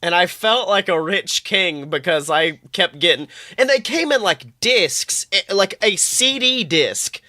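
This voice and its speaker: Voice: medium pitch, lively intonation, unhurried, hardly any pauses, sharp tone, no room sound, clean studio sound. A podcast co-host from the United States chatting casually, telling rambling, joking stories with plenty of swearing. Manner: profane, joking, rambling storytelling. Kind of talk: casual comic podcast chat.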